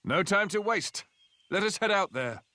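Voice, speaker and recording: A man's voice: high voice, animated, normal speed, sharp tone, no room sound. An actor playing a confident, earnest young nobleman in a fantasy game, articulate and encouraging. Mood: composed, decisive.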